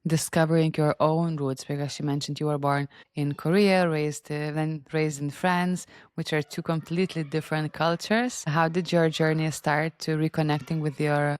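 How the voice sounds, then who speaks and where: A woman hosting a podcast, asking open questions in a measured way. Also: low-pitched, flat delivery, clear, resonant tone. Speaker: podcast host. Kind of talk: interview questions.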